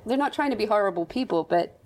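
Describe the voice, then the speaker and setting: A woman in her late thirties with a softened Australian accent, candidly describing her work as a film and game composer in an interview. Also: mid-range voice, lively intonation, quick pace. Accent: Australian, softened toward American. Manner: reflective, candid, restarts phrases. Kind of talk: interview guest.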